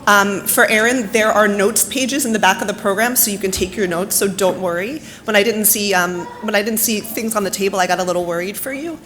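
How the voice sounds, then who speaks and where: A woman with a hoarse, fading voice, giving friendly opening remarks at a conference with lots of ums. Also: mid-range voice, a little fast, hardly any pauses, a bit bright, a little hiss or hum. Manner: chatty, many ums, friendly housekeeping tone. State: hoarse, losing her voice. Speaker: foundation science officer introducing the keynote. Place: hotel ballroom.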